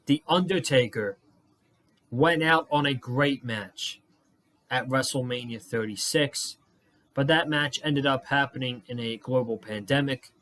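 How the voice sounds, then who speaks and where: A young man reviewing a wrestling show on his channel, losing his voice and worn out, talking excitedly with a lot of swearing and rambling. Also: mid-range voice, a little slow. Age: young adult. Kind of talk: casual solo review vlog.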